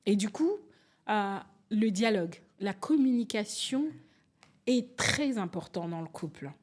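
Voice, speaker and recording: A woman in her forties, from Angola, speaking candidly as a podcast guest, telling stories and acting out quotes with lively restarts. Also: medium pitch, unhurried. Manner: animated, storytelling, restarts and acts out quotes. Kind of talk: candid conversational interview.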